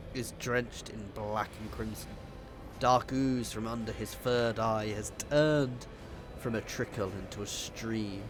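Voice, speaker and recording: Man with a head cold. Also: fairly low pitch. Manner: reads game text aloud with stumbles and apologies. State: congested from a cold. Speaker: gaming channel host. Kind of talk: let's play narration and commentary.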